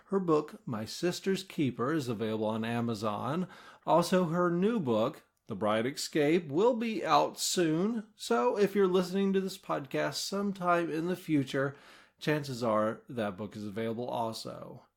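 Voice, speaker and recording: A man's voice: fairly high pitch, expressive, measured pace, creaky. A middle-aged American man chatting casually and easily as an author being interviewed. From United States.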